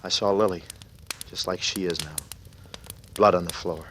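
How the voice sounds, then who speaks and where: An actor playing a brooding, guilty and slightly unhinged man in a halting, dramatic 1940s radio style. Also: sits low, fairly even intonation, fairly bright tone. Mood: haunted, grieving. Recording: noisy old radio recording.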